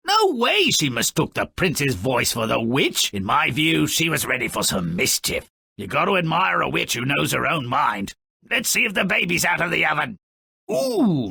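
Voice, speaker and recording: An actor playing a cynical, gleefully morbid, grumpy dwarf storyteller in a video game, with a raspy, gravelly voice and a pompous, sardonic, theatrical delivery. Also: male voice, fairly high pitch, sharp tone, no room sound, studio quality, heavily acted. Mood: gleeful mockery. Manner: sardonic, pompous wordy, mock-dramatic swoops and drawn-out endings. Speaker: narrator character. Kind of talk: theatrical scripted game narration.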